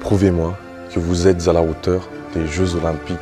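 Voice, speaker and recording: A stern official , played by a man, asks formal, sceptical questions in a low voice. He sounds unimpressed and in charge. Music plays clearly behind him. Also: level tone, normal speed, close to an everyday voice. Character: stern official interviewing applicants.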